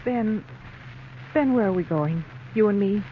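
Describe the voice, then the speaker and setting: A woman's voice: medium pitch, expressive, unhurried, lightly acted. An actress playing a scheming young woman who feigns love in a melodramatic 1940s radio drama. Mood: coy pleading. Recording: old muffled radio recording, faint noise.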